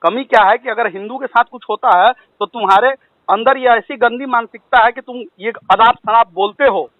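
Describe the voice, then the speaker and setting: A very high-pitched man with a Bihari accent interrogates someone on a tinny phone recording. He is accusatory and scolding, cutting in with confrontational questions. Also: moderate pace, no echo. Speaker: caller confronting the other party.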